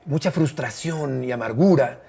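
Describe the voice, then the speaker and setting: A Mexican man in his fifties with a Mexican accent, a sports commentator telling stories in an interview, expressive and emphatic with frequent fillers. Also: medium pitch, moderate pace, vocal fry, breathy edge. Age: 50s. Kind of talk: conversational interview storytelling.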